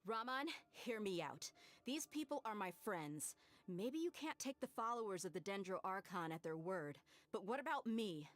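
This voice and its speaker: A woman's voice: mid-range voice, lively intonation, normal speed, natural voice. An actress playing a bold, blunt female mercenary in a video game, speaking in a challenging way. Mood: earnest.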